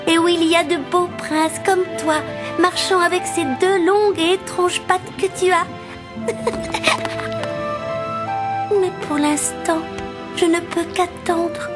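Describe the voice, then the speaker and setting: A woman playing a dreamy, impatient teenage mermaid princess in an animated fairy tale, girlish and earnest. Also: very high-pitched, a little slow. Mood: wistful longing. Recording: loud music behind.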